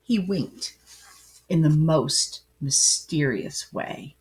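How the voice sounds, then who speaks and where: A woman reading a children's story aloud with dramatic, emphatic phrasing and pauses. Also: low voice, expressive, darkish tone, low-quality recording. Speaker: storybook reader. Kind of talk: expressive children's story narration, with asides to listeners.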